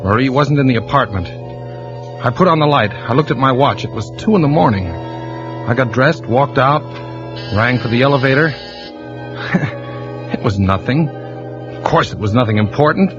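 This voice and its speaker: A man's voice: mid-range voice, expressive, natural voice. A man playing a nervous insurance investigator. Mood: uneasy. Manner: halting, uneasy, with nervous little laughs. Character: anxious male insurance investigator and husband. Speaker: narrator and lead character. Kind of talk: 1940s radio drama acting. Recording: lo-fi vintage radio broadcast with music.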